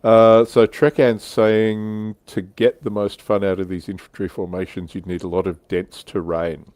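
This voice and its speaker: A man with a low voice talks through his subject in a relaxed, conversational way. He hosts a casual livestream discussion, explaining as he goes. The sound is dry, with very little room in it. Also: a little slow, no echo. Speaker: livestream host. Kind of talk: casual discussion of military history and tabletop wargaming.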